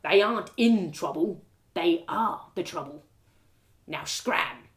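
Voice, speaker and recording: A woman voicing a sneering, bullying male castle guard with rough, uneducated speech in a storybook reading. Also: on the low side, lively intonation, slow. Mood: scornful. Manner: rough uneducated grammar, contemptuous.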